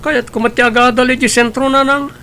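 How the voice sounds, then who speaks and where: A man's voice: very high-pitched, flat delivery, fairly quick, sharp tone, voice pushed up, full character voice. A man doing an eager, insistent young man.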